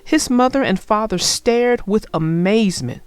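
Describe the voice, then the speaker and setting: A woman narrating a children's story aloud in an expressive storytelling manner. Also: medium pitch, measured pace, clean tone, no room sound, faint music behind.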